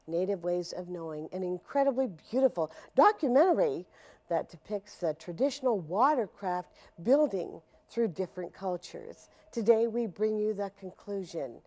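Woman, middle-aged, news host, animated intonation, studio. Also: medium pitch, moderate pace, soft background music. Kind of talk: scripted news presenting. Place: television studio.

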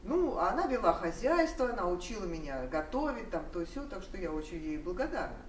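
A woman's voice: on the low side, big pitch swings, a little fast. Older woman rambling in an echoey room. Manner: rambling, many hesitations and restarts. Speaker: seminar participant sharing memories. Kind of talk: spontaneous personal storytelling. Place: seminar room, standing among seated audience. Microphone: far camera. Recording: echoey room, distant mic, noise.

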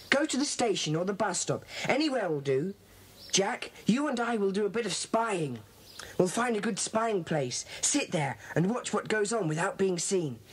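A woman playing an earnest, bossy schoolboy club leader in a children's audio drama, brisk and authoritative. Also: mid-range voice, slightly rough.

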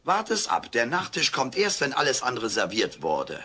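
A gravelly, bright-voiced alien houseguest, cheeky and sarcastic. He sounds rough and husky, with the glib manner of a sitcom wisecracker who never takes the blame. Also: medium pitch, wildly swooping pitch, moderate pace, exaggerated voice. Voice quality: raspy, gravelly. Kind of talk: German-dubbed sitcom audio play.

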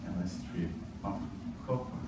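A young Brazilian-accented man teaches a class, speaking slowly and haltingly with plenty of fillers. His lowish voice is caught by a camera at the back of a very echoey classroom. Steady room noise runs underneath. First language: Portuguese. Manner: hesitant, many fillers and restarts. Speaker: student teaching a practice class. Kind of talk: classroom lesson. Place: classroom with whiteboard. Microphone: far camera at back of room. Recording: echoey room from far off, noisy.

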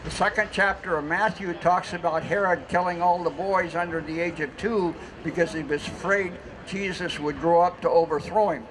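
A man's voice: on the high side, fairly even intonation, normal speed, few pauses. Older man reading a speech outdoors. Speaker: festival speaker. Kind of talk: read prepared speech. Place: outdoor city plaza stage. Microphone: mic on stand through PA. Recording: outdoor street noise.

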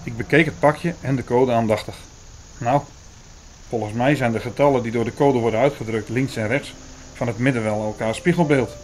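A man's voice: mid-range voice, brisk, a little hiss or hum, natural voice, rough recording. An actor playing a sceptical, irritated man who narrates his own story in an audio drama. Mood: attentive. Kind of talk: first-person audio drama narration and dialogue. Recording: music and crowd murmur behind.

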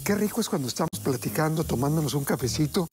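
Folksy TV chef. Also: male voice, on the high side, animated, fast talker. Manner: folksy, chatty, addresses viewers and grandmother. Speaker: TV cooking show host. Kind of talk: cooking demonstration. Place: TV studio kitchen set.

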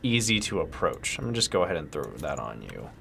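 A young man with a slightly hoarse voice, chatting casually while playing a video game as host. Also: a bass voice, very animated, quick pace, a bit bright, slight background noise. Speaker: let's play host. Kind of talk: casual gameplay chat.

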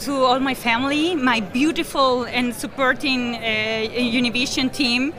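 High-voiced Chilean woman, halting English. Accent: Chilean Spanish. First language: Spanish. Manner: excited, halting English with restarts. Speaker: weather anchor, award winner. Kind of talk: backstage interview. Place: backstage press area at an awards show. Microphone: handheld mic. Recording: busy crowd noise behind.

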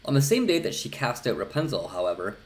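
Male storybook narrator, middle-aged, animated range. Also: mid-range voice, quick pace. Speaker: storyteller reading a fairy tale aloud. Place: small indoor room.

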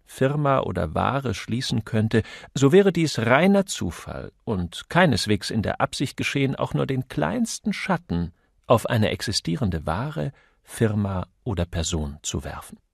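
A man's voice: medium pitch, vocal fry, slightly rough, no echo, studio quality. A man reading a crime novel aloud as scripted audiobook narration.

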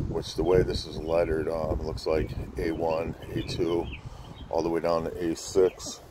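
A man hosting a casual product showcase vlog, talking in an informal, laid-back, hesitant way with plenty of fillers. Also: a bass voice. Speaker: fireworks channel host. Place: outdoors in a windy spot by a pickup truck bed. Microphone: handheld camera setup with an added mic. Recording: windy outdoor noise. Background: wind.

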